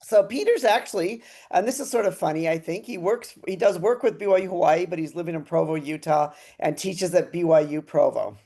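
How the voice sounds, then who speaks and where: A woman's voice: lowish voice, a little fast, hardly any pauses, dark tone. A woman hosting a casual interview, chatty and upbeat, who laughs often. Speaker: interviewer, host.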